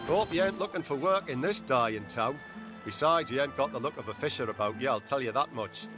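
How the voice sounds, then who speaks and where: Gloomy villager male actor, game role, blunt folksy delivery at medium pitch over background music. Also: lively intonation, a little fast, lightly acted. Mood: dour. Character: gloomy, blunt male townsman of a fishing town. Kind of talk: video game character acting.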